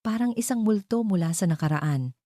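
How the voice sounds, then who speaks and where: A woman's voice: mid-range voice, animated, normal speed, dark tone, no echo, clean studio sound. A woman with a Filipino accent reading a scripted dramatic story as narrator.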